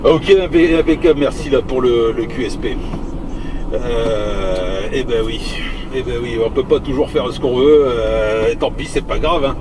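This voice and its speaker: A man's voice: medium pitch, measured pace. A man in a casual radio chat, speaking in an easygoing, hesitant way and often repeating himself. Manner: hesitant, repetitive, easygoing phrasing. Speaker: CB radio operator driving. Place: inside a moving vehicle. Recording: loud vehicle and road noise.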